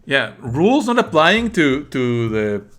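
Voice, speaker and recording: A man's voice: a little high, big pitch swings, a little slow, fairly bright tone. A man whose native language is Spanish, giving sardonic, exasperated live commentary as he streams a game. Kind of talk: live let's play commentary.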